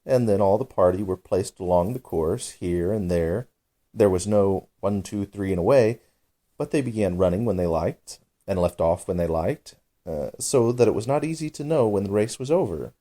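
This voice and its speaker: A man's voice: fairly low pitch, lively intonation, normal speed, warm tone. A man narrating a children's bedtime story as a storybook reader.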